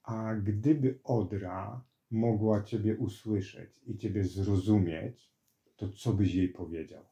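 A man's voice: lowish voice, fairly even intonation, slow, warm tone, muffled recording. A man asking children simple, open questions as an interviewer. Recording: roomy, echoing interview recording.

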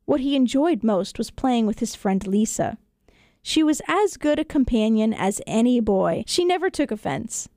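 A woman storyteller reading children's tales in an expressive audiobook narration style. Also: fairly high pitch, moderate pace, dry recording, clean studio sound. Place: radio studio.

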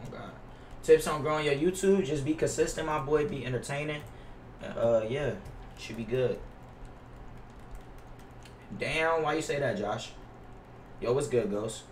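A man's voice: medium pitch, a little slow, pauses often, recorded from a distance. A young man chatting casually on a live game stream, slangy and profane, often complaining. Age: young adult. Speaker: livestreamer playing a basketball video game. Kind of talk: casual live stream chat and gameplay reaction. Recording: roomy echo, off-mic, noisy with keyboard clicks.

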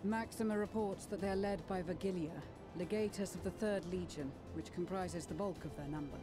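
Composed military commander, acted, formal measured delivery, medium pitch, fantasy game briefing, musical backing. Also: music in the background, natural voice. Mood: composed, resolute. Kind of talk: scripted game dialogue.

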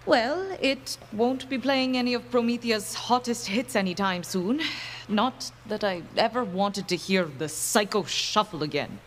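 A woman's voice: medium pitch, unhurried, slight background noise, close to an everyday voice. A woman acting in a video game as an earnest young female inventor who rambles in precise, awkward technical language. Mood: wry, muttering.

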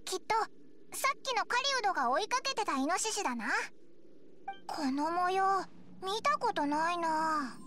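Tiny anime game fairy: extremely high, animated, childishly blunt and curious, game noise behind. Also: female voice, unhurried. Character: tiny childlike floating fairy companion, curious, easily astonished. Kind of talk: Japanese anime game voice acting.

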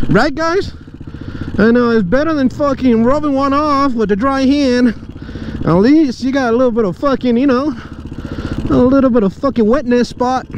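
A man talking casually and crudely, swearing and joking as he rambles for his vlog while riding a motorcycle outdoors in the city. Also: very high-pitched. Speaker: motorcycle vlogger. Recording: clear engine and street noise. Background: motorcycle engine, traffic, street ambience.

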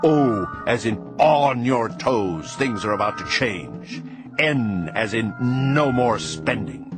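Storybook old mouse grandpa, acted by a man: tough, stingy, loud and domineering, hammering his words, faint music behind. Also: fairly low pitch, big pitch swings, vocal fry, full character voice. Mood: scolding, emphatic. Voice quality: shrieking, shouting. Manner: domineering, emphatic, drawn-out key words.

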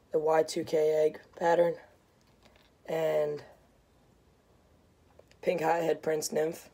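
A young man talking casually on a fishing vlog, recorded outdoors by a creek. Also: on the high side. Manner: relaxed, chatty, informal. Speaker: fishing vlogger. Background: background music.

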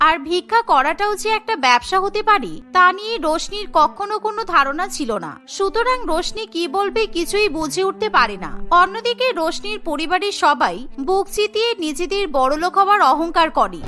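A woman tells a cartoon story in a brisk, dramatic scripted narration. Her voice sits very high and sounds bright and sharp, with a music bed playing underneath. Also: sing-song.

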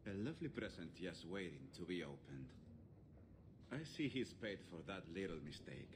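An actor playing a menacing, smooth slave-trading businessman villain in a video game, with a slow, mocking drawl. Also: low voice, very animated, exaggerated voice. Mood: mocking, smug. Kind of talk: scripted video game dialogue. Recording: game audio with clear background noise.

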